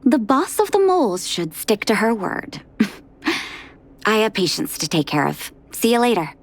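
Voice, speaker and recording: An actress playing a stern but caring woman doctor in a video game, measured and gently scolding. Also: medium pitch, very animated. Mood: amused, gentle.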